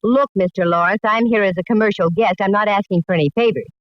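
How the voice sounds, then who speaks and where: A woman's voice: medium pitch, fast, close to an everyday voice. An actress playing a wisecracking, plucky woman detective in a 1940s radio drama, narrating her own case. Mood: indignant. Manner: wisecracking, breezy. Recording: dull, noisy 1940s radio recording.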